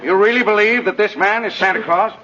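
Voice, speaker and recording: An actor playing an indignant, combative prosecutor, forceful, scornful and formal, in 1950s radio drama style. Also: male voice, high voice, a little fast. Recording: dull, narrow 1950s radio recording.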